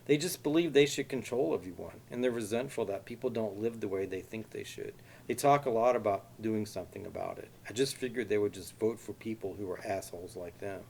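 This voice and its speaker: A man's voice: lowish voice, a little fast, creaky, few pauses, a little mellow, slight background noise. A man voicing a worried young woman who confides warnings to her friends. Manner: earnest, anxious, confiding.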